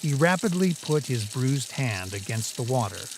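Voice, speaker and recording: A man's voice: mid-range voice, very animated, normal speed, background noise. A man reading scripted third-person story narration as the narrator.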